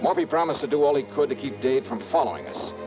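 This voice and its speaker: A man's voice: mid-range voice, sing-song, quick pace, close to an everyday voice. An American actor playing a tough, wry investigator narrating his case in old radio noir style. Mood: tense narration. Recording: muffled vintage radio, music bed.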